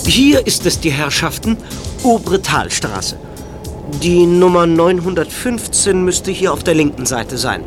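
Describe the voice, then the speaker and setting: A man playing a courteous chauffeur in an audio drama, speaking in a formal, measured way. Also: a little high, big pitch swings, music in the background. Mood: announcing, formal. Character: courteous, formal chauffeur driving young detectives. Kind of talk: audio drama dialogue acting.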